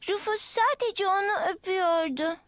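A woman's voice: very high-pitched, normal speed, fairly bright tone, no echo. A woman voicing a cheerful, eager young girl who talks coaxingly in a children's cartoon dub. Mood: earnest, pleading. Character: cheerful young girl devoted to her dog.

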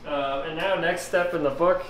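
A man's voice: a little high, normal speed. Middle-aged man chatting through a recipe. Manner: relaxed, talks through each step while working. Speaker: home cook vlogger. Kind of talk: casual cooking demo. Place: home kitchen, indoors. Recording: kitchen clatter and dish noise behind.

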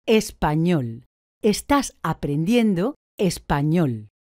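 A woman answers drill questions very slowly, with a mellow, lowish voice and lively rises and falls in pitch. Every word is carefully articulated. The recording is close and dry. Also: no room sound. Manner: slow, deliberate, clearly articulated answers. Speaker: language course speaker.

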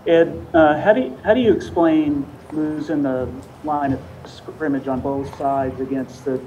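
A man's voice: fairly high pitch, fairly even intonation, unhurried, dark tone. A man working as a reporter, asking questions at a press conference in a hesitant, circling way. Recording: dull sound, faint noise.

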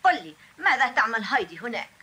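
A woman dubbing a doubtful, questioning village woman in a cartoon, with a husky voice. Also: a little high, very animated, very fast, bright, forceful tone, heavily acted. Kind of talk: Arabic cartoon dub.

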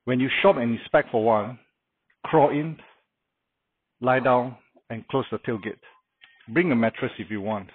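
A middle-aged man shows off a car fitted for camping, talking plainly and pausing on uh fillers. His voice is a little husky and sits at an ordinary pitch. The sound is thin and dry, as if caught on a phone. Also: no room sound, narrow, tinny audio. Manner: plain, instructive, with frequent uh fillers. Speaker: vlogger demonstrating a car camping setup. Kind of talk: casual how-to walkthrough. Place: outdoor carport beside a house.

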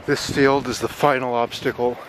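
A man narrating his own exploration of abandoned buildings in a dry, wry, deadpan way, filmed on a handheld camera. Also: mid-range voice, moderate pace, background noise. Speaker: urban explorer vlogger. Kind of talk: casual solo exploring commentary. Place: abandoned buildings and overgrown fields, indoors and outdoors.

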